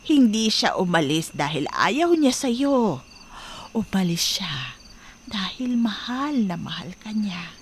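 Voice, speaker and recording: A woman's voice: mid-range voice, sing-song, takes it slowly, slight background noise. A woman playing a tender, worried Filipino grandmother in an audio drama. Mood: tender reassurance.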